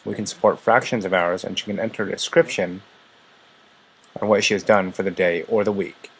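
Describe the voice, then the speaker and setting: A man presents a scripted software demonstration. His voice sits fairly low and has a noticeably husky, unpolished edge. He narrates as a product walkthrough presenter. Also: steady tone, a little fast, poor audio.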